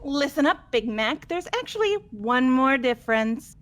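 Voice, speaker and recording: A high-pitched, wildly swooping young murderess from a video game. She is manic and flamboyant, boasting gleefully and taunting with theatrical flair. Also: lively intonation, a little slow, fairly bright tone. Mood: boastful, taunting. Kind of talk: amateur game voice acting.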